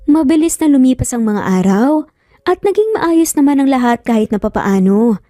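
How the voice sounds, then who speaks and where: Bright-- Filipino woman narrating a story. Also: high-pitched, expressive, moderate pace, no echo, clean studio sound. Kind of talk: scripted dramatic story narration. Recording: soft music under the voice.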